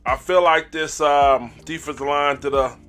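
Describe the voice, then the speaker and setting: A man hosting a sports fan channel, giving a casual game recap in a hoarse, raspy voice, with hyped call-and-response and frequent throat clearing. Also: a little high, fairly even intonation, normal speed, fairly bright tone, faint music behind.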